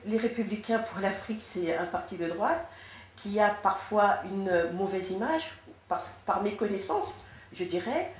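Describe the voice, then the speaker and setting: A woman from France answering interview questions as a politician, in a measured, formal way with frequent restarts. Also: medium pitch. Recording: echoey room with faint noise.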